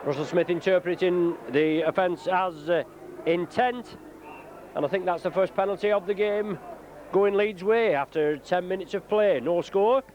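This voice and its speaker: A man giving live rugby league commentary, slightly croaky from a cold, calling play by play with frequent "uh" fillers. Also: high-pitched, normal speed, darkish tone. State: croaky from flu. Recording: dull lo-fi broadcast tape with noise.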